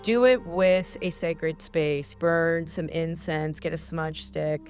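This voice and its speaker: A woman's voice: sits low, steady tone, slow pace, darkish tone, soft background music. A woman hosting a spiritual podcast, talking in an earnest, heartfelt coaching tone. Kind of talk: casual spiritual advice talk.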